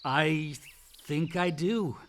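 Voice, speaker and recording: A man's voice: a little high, drawn-out words, fairly bright tone, slight background noise. An actor playing a brooding, angry grown older son in a naturalistic radio drama, blunt and informal in his talk. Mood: wry, reflective.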